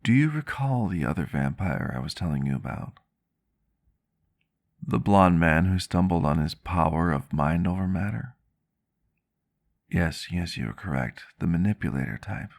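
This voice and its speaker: Guy doing a kindly vampire doctor for asmr roleplay, really low gravelly voice, measured and earnest, kinda formal. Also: expressive. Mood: gentle, reassuring. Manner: measured, reflective, formal wording. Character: gentle, earnest male vampire doctor caring for a housemate. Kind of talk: ASMR roleplay, soft intimate storytelling to the listener.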